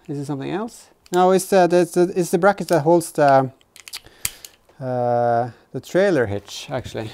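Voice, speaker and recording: A man, probably in his thirties, giving a casual hands-on workshop narration in a halting way as he thinks aloud while working. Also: medium pitch, gravelly, darkish tone, slight background noise. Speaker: host of a DIY vehicle build vlog. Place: indoor garage workshop, in and around an open car.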